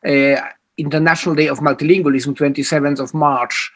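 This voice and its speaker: Male panelist, breathy voice, hesitant and searching, video-call audio. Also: a little high, fairly even intonation, a little fast, fairly bright tone. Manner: hesitant, thinking aloud, searching for words. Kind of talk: online panel discussion.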